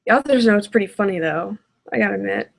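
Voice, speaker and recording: A woman's voice: mid-range voice, expressive, fast talker, creaky. A woman hosting a reaction video with casual, sarcastic, foul-mouthed commentary.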